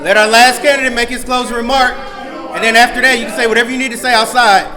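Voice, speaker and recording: A man's voice: very high-pitched, steady tone, quick pace. A man moderating a forum, firmly and repeatedly calling the room to order. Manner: firm, repetitive calls for order. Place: large public hall. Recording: echoey hall with noisy crowd.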